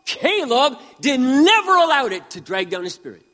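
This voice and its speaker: A man around sixty preaching a sermon with impassioned emphasis, swinging between loud peaks and quiet asides. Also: very high-pitched, very animated, moderate pace, long reverb tail. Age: 60s. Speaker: pastor.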